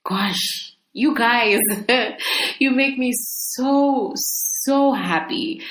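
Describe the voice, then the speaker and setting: Female podcast host, slow-paced, mid-pitched, expressive solo monologue straight to the listeners, recorded in an echoey room. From Zambia. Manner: conversational, expressive, laughs often. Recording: echoey room, faint background noise.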